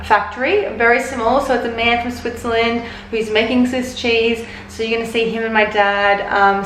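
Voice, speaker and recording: A woman hosts a vlog with an upbeat, friendly intro. Her voice sits fairly high, and the room around her rings with echo. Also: fairly bright tone, a little hiss or hum. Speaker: vlog host.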